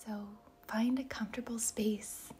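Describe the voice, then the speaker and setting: A woman leading a guided meditation in a soft, breathy, whispery voice, speaking gently and deliberately with long pauses between short phrases. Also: fairly high pitch, fairly even intonation, faint music behind. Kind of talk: guided meditation, slow and soothing narration.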